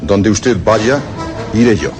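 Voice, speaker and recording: An actor playing a loyal, brave young man with a solemn, deliberate manner in a radio horror drama. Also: lowish voice, animated, music in the background. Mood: solemn resolve.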